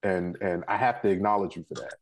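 A man's voice: low-pitched, quick pace. A man hosting a casual interview show, laid-back and repetitive, saying "yo" a lot. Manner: laid-back, repeats himself, says "yo". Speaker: host.

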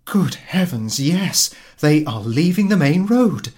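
A man's voice: fairly high pitch, sing-song, slow pace, darkish tone, pitched above the performer's own voice. A man voicing a frantic young wife in a story, urgent, nagging and exclamatory as she rouses her husband. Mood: alarmed.

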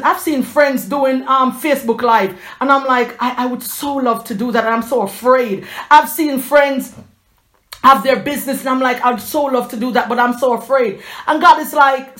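A woman's voice: fairly high pitch, fairly bright tone. A woman in her forties giving a fervent, chatty inspirational faith talk to her viewers, with a preachy edge and frequent restarts. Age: 40s.

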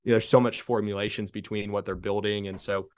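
Man, 20s, low-pitched, easygoing guest talk, dry close recording. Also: fast talker, no room sound. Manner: relaxed, conversational, many fillers and restarts. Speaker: guest, marketing consultant. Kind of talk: casual podcast interview.

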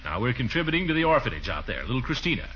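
Pompous exasperated uncle on old radio comedy, big swoops up and down, forceful, muffled recording. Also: male voice, mid-range voice, big pitch swings, very fast, slight background noise. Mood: blustering. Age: middle-aged. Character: blustery, soft-hearted middle-aged uncle, pompous and exasperated. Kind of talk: 1940s radio comedy acting.

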